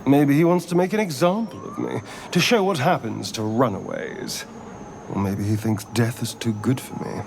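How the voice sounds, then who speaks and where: An actor voicing a haughty, anxious vampire spawn on the run in a video game, theatrical and sardonic. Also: male voice, medium pitch, normal speed, vocal fry, background noise, natural voice. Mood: bitter brooding.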